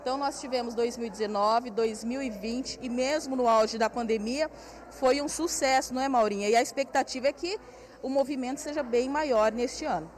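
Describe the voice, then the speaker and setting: A woman's voice: fairly high pitch, a little fast, slight background noise. A woman in her thirties with a Brazilian accent, doing a live TV field report at an indoor craft fair on a handheld mic, chatty and guiding viewers around. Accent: Brazilian Portuguese. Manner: chatty, walks and points while talking. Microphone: handheld station mic.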